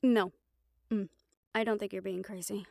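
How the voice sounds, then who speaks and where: A woman playing a gentle, caring young banshee in an intimate audio roleplay, speaking softly and soothingly, with some phrases trailing into a whisper. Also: mid-range voice, fairly even intonation, normal speed, creaky, no room sound, clean studio sound, natural voice. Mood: gentle, reassuring. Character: gentle young female banshee from Puerto Rico, caring crush. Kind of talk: intimate audio roleplay acting, one-sided conversation.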